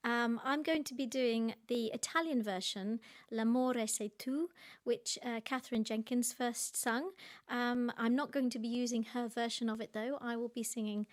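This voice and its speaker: High-voiced woman singer, politely gracious. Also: fairly even intonation, normal speed. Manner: polite and gracious, thanking the audience. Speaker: classical singer. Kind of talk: introducing a song on a livestream concert.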